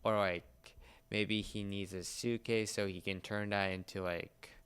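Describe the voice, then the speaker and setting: A young man with a clear, smooth voice on the low side. He talks casually as a co-host, explaining things in detail and feeling for his words as he goes. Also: level tone, measured pace, a bit bright. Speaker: podcast co-host. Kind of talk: casual pop culture discussion.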